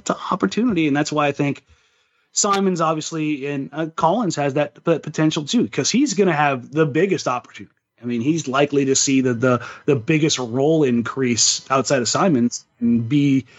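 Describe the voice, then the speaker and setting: A man co-hosting a sports podcast, talking casually and giving his opinions in long, thinking-aloud explanations. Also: a little high. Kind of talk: casual sports talk and analysis. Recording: slightly dull, lo-fi sound.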